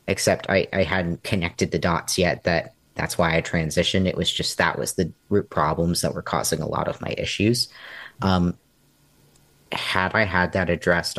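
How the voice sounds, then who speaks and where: A young man speaking as an interview guest, thinking out loud with many pauses and ums. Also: deep voice, dry recording. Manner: hesitant and reflective, many ums. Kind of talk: candid personal interview.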